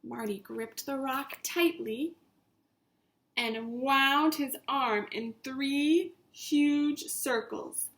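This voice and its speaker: A woman's voice: high voice, extremely slow, fairly bright tone. A woman teacher reading a story aloud to young children, with simple, instructive phrasing and repetition.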